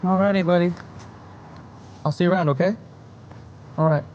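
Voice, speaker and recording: An actor playing a friendly, sympathetic doctor in an audio drama. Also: male voice, sits high, steady tone, normal speed, a little mellow, lightly acted. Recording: dull sound, faint noise behind.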